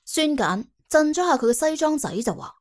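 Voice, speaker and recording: Female audiobook narrator, Cantonese, higher register, animated dramatic delivery with a wry, chatty edge. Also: moderate pace. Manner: wry, colloquial, exclamatory. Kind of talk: dramatic scripted storytelling.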